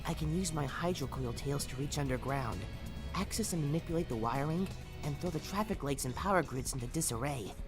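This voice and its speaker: A woman's voice: low-pitched, brisk, over music, close to an everyday voice. A woman voicing a timid, obedient young boy sidekick in a comic dub, hesitant and deferential, prone to stammering. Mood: hesitant, earnest. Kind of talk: comic dub cartoon acting.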